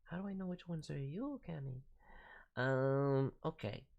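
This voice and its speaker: A man's voice: fairly high pitch, unhurried, creaky, a little mellow, dull audio. A man hosting a casual livestream as a voice director, giving feedback in a playful way with cutesy turns of phrase. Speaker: voice director, streamer host. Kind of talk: livestream critique of demo reels, casual.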